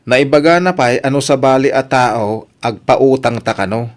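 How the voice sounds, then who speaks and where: An actor playing a disgruntled villager passing on gossip in a radio drama, grumbling with an Ilocano accent. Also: male voice, mid-range voice, steady tone, moderate pace, natural voice.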